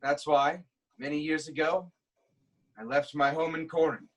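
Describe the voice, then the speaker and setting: Tragic king, proud, fearful, declamatory, slightly high husky voice. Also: fairly even intonation, measured pace. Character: troubled proud king, fearful and haunted by prophecy. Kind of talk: dramatic reading of Greek tragedy.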